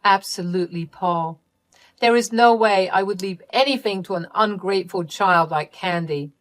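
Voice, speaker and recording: A woman's voice: medium pitch, normal speed, dry recording, natural voice. A strict older mother in a storybook reading, scornful and cold, voiced by a woman. Her words come out cutting and hard.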